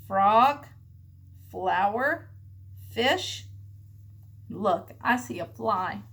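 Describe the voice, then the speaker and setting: A woman reading aloud to young children as a teacher, enunciating deliberately and prompting them with questions. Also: high-pitched, fairly bright tone. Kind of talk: children's read-aloud lesson.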